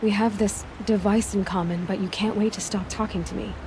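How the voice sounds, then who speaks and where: An actress playing a determined young outcast hunter who asks direct, probing questions. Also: female voice, medium pitch, fairly even intonation, brisk, lightly acted. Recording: game audio with ambient noise.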